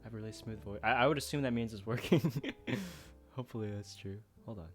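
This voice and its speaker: A man's voice: on the low side, fast talker, a bit bright, faint music behind. A man chatting casually and informally on a livestream as he plays, with a self-deprecating manner. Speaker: streamer. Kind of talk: casual livestream chat while gaming.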